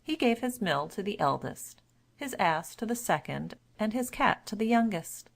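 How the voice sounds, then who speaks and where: A woman's voice: mid-range voice, lively intonation, normal speed, vocal fry. A woman storyteller giving a scripted narration of a classic children's tale.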